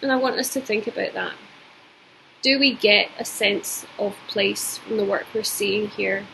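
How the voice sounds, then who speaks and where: A Scottish woman in her forties talks through an art lesson into her webcam at home, measured and thoughtful, pausing on plenty of ums. Her pitch sits in an ordinary middle range. A faint hiss sits under the recording. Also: gravelly. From Scotland. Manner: reflective, measured, with many ums. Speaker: art teacher. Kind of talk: recorded video lecture. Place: indoors at home.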